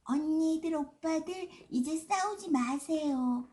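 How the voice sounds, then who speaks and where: Woman doing a young animal child, high pitch, excitable, exclamatory, storybook character. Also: slow. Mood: pleading.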